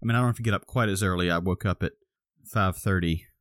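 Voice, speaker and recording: A man's voice: low-pitched, fast, a bit bright, clear, resonant tone, no echo, studio quality. A man co-hosting a podcast, explaining a topic step by step in casual chat, a little groggy. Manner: explains step by step with many restarts. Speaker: podcast co-host.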